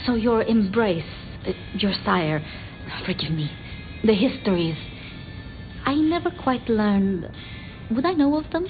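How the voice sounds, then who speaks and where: A woman voicing a timid, bookish, deferential vampire scholar in video game dialogue, speaking haltingly and apologetically. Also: medium pitch, lively intonation, narrow, tinny audio, natural voice. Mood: hesitant curiosity. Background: background music.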